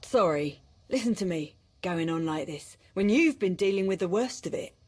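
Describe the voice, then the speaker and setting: A woman's voice: on the low side, lively intonation, normal speed, darkish tone. A female soldier in a sci-fi game, worn down and sardonic. She grumbles wryly and informally, like an officer who has seen too much of her superiors. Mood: apologetic. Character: weary, sardonic female Imperial military officer. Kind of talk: video game cutscene acting.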